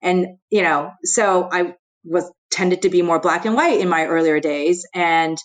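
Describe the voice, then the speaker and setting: Candid middle-aged woman, lowish voice. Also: a little slow. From United States. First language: Chinese. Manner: candid, reflective, many fillers like "you know". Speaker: podcast guest. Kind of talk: conversational interview.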